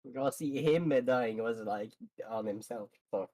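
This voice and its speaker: A man's voice: mid-range voice, measured pace, a little mellow, no room sound. A man hosting a casual gaming stream with friends, talking in a rambling, sweary way. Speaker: host of a group gameplay stream.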